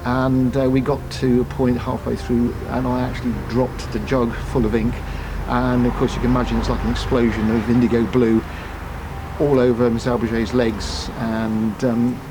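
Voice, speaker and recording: A man in his seventies from Guernsey, telling lively school memories with many pauses and restarts. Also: mid-range voice, music in the background, rough recording. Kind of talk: casual reminiscing.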